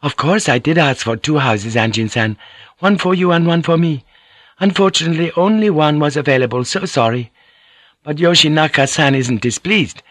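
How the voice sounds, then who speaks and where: A man voicing a courteous, gentle Japanese noblewoman in an audiobook, speaking softly and coaxingly. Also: a little high, normal speed, no echo, voice pushed up. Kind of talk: audiobook character voice.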